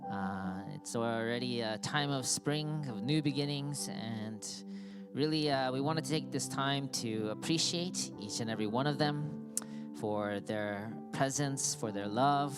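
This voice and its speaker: Reverent male pastor praying over music. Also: mid-range voice. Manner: reverent, unhurried, with frequent "uh" pauses. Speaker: senior pastor. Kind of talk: leading a congregational prayer. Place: church sanctuary. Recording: instrumental music playing underneath.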